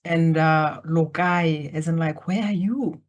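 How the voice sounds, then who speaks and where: Calm woman with a Botswana accent. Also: low voice, dull audio. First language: Setswana. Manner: slow, calm, speaks directly to listeners. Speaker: podcast guest, coach. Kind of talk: guided reflective invitation. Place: remote, in Germany.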